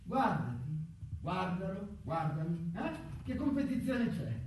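A man's voice: high-pitched, sing-song, takes it slowly, darkish tone, full character voice. Guy acting bitter sardonic office worker in a stage play, keeps repeating himself, super echoey and noisy. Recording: echoey far-off stage recording, clear noise.

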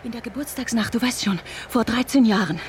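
A woman's voice: on the high side, a little fast, a little hiss or hum, close to an everyday voice. An actress in a German radio drama playing a cunning, vengeful woman who talks in a taunting, intimate, theatrical way. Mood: scheming, bitter. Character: vengeful cunning woman restorer, playful and obsessive.

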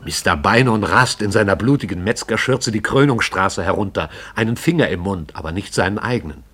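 A man narrates a radio play with a slightly low, husky voice. His delivery is measured and storytelling. It is scripted narration for broadcast drama. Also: fairly bright tone.

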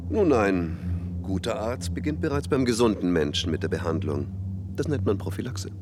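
An actor playing a calm, quietly menacing doctor in a German radio play, controlled and instructive. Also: male voice, on the low side, normal speed, close to an everyday voice. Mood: coolly warning. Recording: clear background noise.

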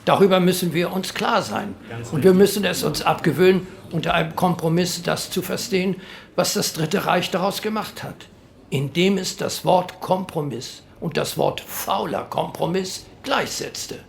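An earnest middle-aged parliamentarian speaks with emphasis in a radio drama. His voice is gravelly and sits a bit high. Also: normal speed.

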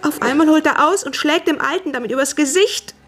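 An actress playing a frightened young housemaid in a radio drama, giving breathless, halting eyewitness testimony in colloquial speech. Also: female voice, high-pitched, soft background music, lightly acted. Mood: horrified, agitated. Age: young adult.

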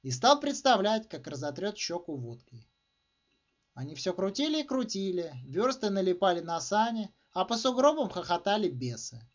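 A man with a high-pitched voice narrates a short story with emphatic, theatrical phrasing. His intonation swings widely from phrase to phrase. The recording sounds dull and muffled. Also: sing-song, normal speed. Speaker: author reading own short story. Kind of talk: expressive literary narration.

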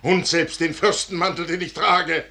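An actor playing a proud, brooding army commander in a 1950s German radio drama, declaiming gravely and deliberately with a gravelly voice. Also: male voice, fairly high pitch, fairly even intonation, bright, forceful tone. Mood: reflective, grave. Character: proud, brooding male army commander torn before a fateful decision.

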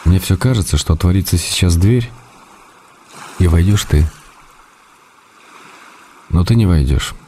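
An actor in a radio drama reading the letters of a lonely man writer, measured, wryly tender and melancholy. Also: low-pitched, warm tone, slight background noise. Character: lonely man writer, wry and tender, missing his love.

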